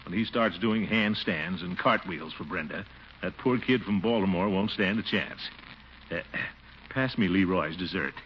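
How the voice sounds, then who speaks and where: An actor playing a pompous, genial middle-aged uncle, indulgent and blustery, in old-time radio comedy style. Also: male voice, sits low, normal speed, lightly acted. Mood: genial, teasing. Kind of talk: 1940s radio situation comedy acting. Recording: muffled, noisy old radio recording.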